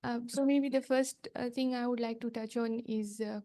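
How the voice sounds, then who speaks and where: A woman's voice: on the high side, fairly even intonation, normal speed, warm tone. A woman from Fiji, a project coordinator, giving a workshop talk without slides in a hesitant way with many fillers.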